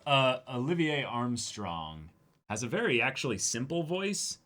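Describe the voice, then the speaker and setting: Brief: a casual co-host; animated and quick to laugh. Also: male voice, medium pitch. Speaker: co-host reading a comic aloud. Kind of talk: casual chat.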